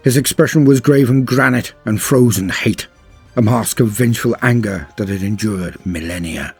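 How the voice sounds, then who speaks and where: A man's voice: on the low side, normal speed, gravelly, a little mellow, husky, soft background music. A man narrating a scripted tale in a grave, theatrical manner, drawing out words for emphasis. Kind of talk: dramatic scripted lore narration.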